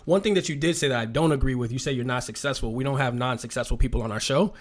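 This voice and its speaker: A man co-hosting a podcast who speaks in a measured, encouraging way as he introduces the guest. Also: medium pitch. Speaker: podcast co-host. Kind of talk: casual podcast interview.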